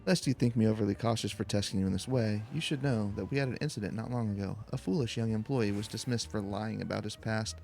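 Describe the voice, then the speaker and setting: A man's voice: fairly low pitch, fast talker, vocal fry, hardly any pauses, darkish tone, soft background music. A man voicing a haughty, condescending former mercenary captain who hands out errands in formal fantasy game dialogue. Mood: pompous.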